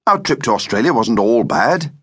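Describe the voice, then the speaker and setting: A man puts on a calm storybook mother with a lifted pitch. She sounds reasonable and open-minded, coaxing gently. The intonation moves freely. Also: moderate pace, breathy edge.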